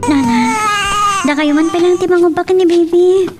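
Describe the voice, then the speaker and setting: Woman acting a high-voiced young Filipino mother. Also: normal speed, recorded from a distance, loud music behind the voice. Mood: anxious, respectful. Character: young mother minding a crying baby with her mother. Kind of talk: Ilocano radio-style drama acting.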